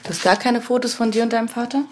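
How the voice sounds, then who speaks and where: A woman's voice: fairly high pitch, steady tone, fast, slight background noise, lightly acted. A woman playing a curious, concerned friend in an audio drama, talking casually and hesitantly, sometimes near a whisper. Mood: curious, gentle. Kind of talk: naturalistic audio drama dialogue.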